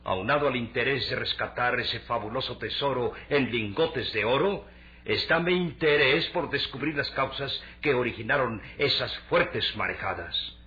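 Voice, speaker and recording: A man's voice: medium pitch, normal speed, pushed and bright, lightly acted. A man acting a decisive, grandiose shipping magnate in a radio serial, with emphatic, declamatory delivery. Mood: resolute. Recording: dull, muffled radio-drama recording.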